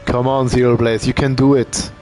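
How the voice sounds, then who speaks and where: A man doing casual live commentary on a sim racing event. He thinks out loud as he talks and uses fillers. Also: mid-range voice, normal speed, slight background noise. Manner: relaxed, thinking aloud, with fillers.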